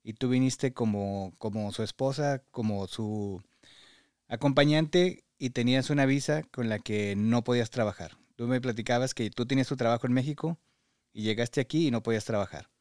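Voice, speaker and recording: A man's voice: medium pitch, moderate pace, a little mellow, clear, resonant tone, no echo, clean studio sound. A man with a Mexican Spanish accent hosts a podcast, interviewing a guest in a conversational way.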